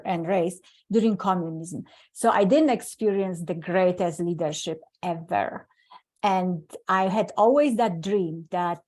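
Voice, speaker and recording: A woman's voice: mid-range voice, a little mellow. A middle-aged woman from Poland with a Polish accent, telling her life story earnestly as an interview guest, often restarting her sentences. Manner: earnest, with frequent restarts and non-native grammar. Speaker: guest speaker.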